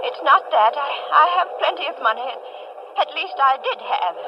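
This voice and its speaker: A woman's voice: high-pitched, lively intonation, moderate pace. An actress playing a polite, hesitant little old lady with genteel, fluttery manners in a 1940s radio drama. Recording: muffled, hissy 1940s radio recording.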